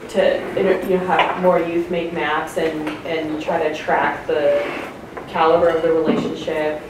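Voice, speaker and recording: Distant, very echoey low-voiced woman in audience. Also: level tone, unhurried. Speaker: audience member. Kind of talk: giving feedback in a discussion. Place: lecture hall audience, off mic. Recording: very echoey room, distant, noisy.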